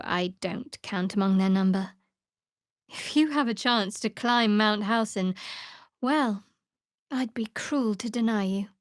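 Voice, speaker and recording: Fantasy game cleric, female actor: young woman, guarded and sardonic, wry reserved delivery, medium pitch, very dry studio sound. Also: no echo, close to an everyday voice. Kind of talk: video game character voice acting.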